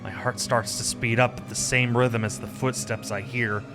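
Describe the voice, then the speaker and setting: A man reading a visual novel game aloud as a streamer, with expressive narration and casual asides. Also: medium pitch, moderate pace, over music.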